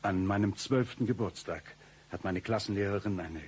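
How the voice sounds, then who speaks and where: An actor playing a shady, self-pitying man who spins absurd hard-luck stories, deadpan and mock-tragic, in a satirical radio play. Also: lowish voice, normal speed, slight background noise, lightly acted. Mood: aggrieved, lamenting.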